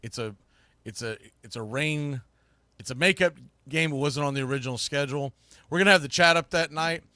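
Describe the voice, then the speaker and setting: A man's voice: a little high, fairly quick, pushed and bright, dry recording. A man hosting a sports show, talking to viewers in a loose, informal, rambling way and often correcting himself. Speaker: host of a sports podcast and play-by-play streamer.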